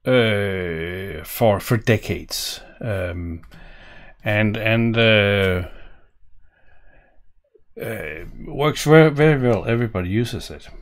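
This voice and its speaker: Danish guy doing a coding tutorial, lowish voice, talks real slow, muses as he types, keyboard clicks behind. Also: animated. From Denmark. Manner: musing, thinking aloud while typing. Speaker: programming tutorial host. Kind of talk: live coding walkthrough. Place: home office, indoors. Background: keyboard typing and clicks.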